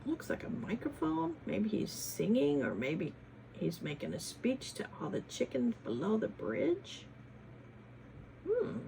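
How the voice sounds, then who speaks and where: A woman hosts a children's read-aloud in a teacherly, questioning manner. Her pitch moves widely and her pace is slow, with some creak in the voice. She mixes expressive story reading with chatty asides. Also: a little hiss or hum.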